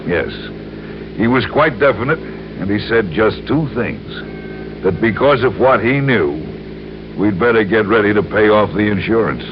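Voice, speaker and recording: An actor playing an earnest male insurance man who explains things deliberately and carefully in an old radio crime drama. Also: fairly low pitch, expressive. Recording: muffled, noisy old radio recording.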